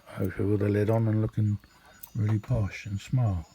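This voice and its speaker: Mellow, low-voiced British man, rambling casually. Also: level tone, fairly quick. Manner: rambling, hesitant, easygoing. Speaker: hobbyist radio demonstrator. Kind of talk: casual, unscripted video demonstration. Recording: clearly noisy amateur home recording.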